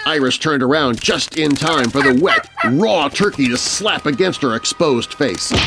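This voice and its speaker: A man's voice: a little high, very noisy. A man narrating a scary story for children in a dramatic, scripted storytelling style.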